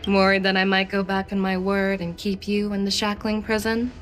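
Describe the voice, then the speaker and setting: Actress as a female military commander, shrewd, assured, intimidating calm, mid-pitched, game dialogue, music behind. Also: moderate pace, natural voice. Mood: commanding, teasing. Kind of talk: video game story dialogue acting. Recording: music under the voice.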